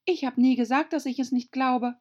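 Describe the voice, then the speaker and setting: A prim young storybook girl, voiced by a woman, speaks in a raised, high pitch. She is polite but pert and easily indignant. The sound is slightly dull. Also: steady tone, moderate pace, mellow.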